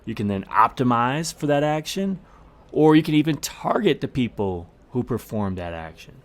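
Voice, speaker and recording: A man's voice: medium pitch, big pitch swings, normal speed. A man hosting a podcast, explaining tips in a scripted monologue with emphatic, short punchy phrases. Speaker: podcast host.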